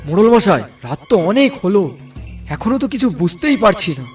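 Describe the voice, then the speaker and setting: Man playing very high-voiced jumpy village helper. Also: big pitch swings, a little fast, far from the mic, faint music behind. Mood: uneasy. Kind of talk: Bengali cartoon dubbing.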